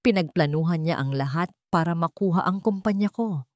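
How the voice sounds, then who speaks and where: A woman's voice: on the low side, brisk, darkish tone, no room sound, lightly acted. An enraged, bitter father voiced by a woman storyteller in a melodramatic tale. The delivery is accusing and emphatic, in a close, dry recording.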